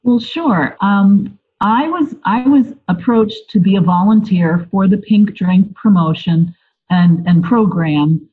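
A woman's voice: mid-range voice, takes it slowly, breathy edge. A woman from Pennsylvania, a volunteer guest, chatting casually and telling a story in an interview. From Lebanon, Pennsylvania. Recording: tinny phone-like line.

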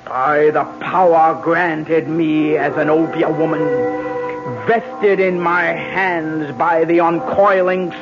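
An older voodoo sorceress, solemn and vengeful. She speaks slowly, with incantatory weight, in radio-play style. The old recording is dull, with music behind her. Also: low voice, steady tone. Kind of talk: old radio drama acting.

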